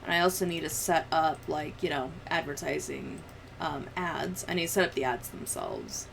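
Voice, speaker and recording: A woman with a fairly low voice talks casually on a livestream. She rambles and thinks out loud, and there is faint background noise behind her. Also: big pitch swings, normal speed, gravelly.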